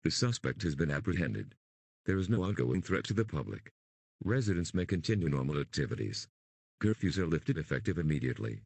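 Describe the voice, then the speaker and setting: Formal government alert voice by a man, bottomless pitch, husky, with moving intonation. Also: very animated, fairly quick, a little mellow, no room sound, exaggerated voice. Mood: official. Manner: formal, impersonal. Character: deep impersonal government emergency message announcer. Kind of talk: scripted emergency broadcast announcement.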